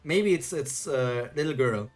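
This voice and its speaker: A man's voice: medium pitch, expressive, unhurried, faint music behind. A man chatting casually while reacting to a webtoon on a live stream and reading chat. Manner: chatty, self-questioning, reads chat aloud.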